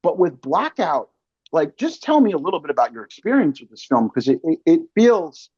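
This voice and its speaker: A man's voice: fairly high pitch, sing-song, moderate pace, a little mellow, husky, dry recording. An American man in his forties hosting a casual interview, rambling in slangy, conversational language, on slightly dull audio. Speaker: podcast host, interviewer.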